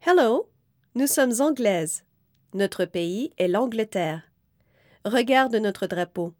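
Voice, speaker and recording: Slow, careful woman reading to kids. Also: on the high side, lively intonation, no room sound, studio quality. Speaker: reader of a children's book. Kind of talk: slow, clear read-aloud for young learners.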